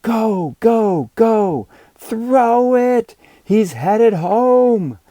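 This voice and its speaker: A man voicing excited boys in an audiobook, shouting urgently across a ball field. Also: drawn-out words, no room sound, exaggerated voice. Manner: urgent, high-pitched shouting.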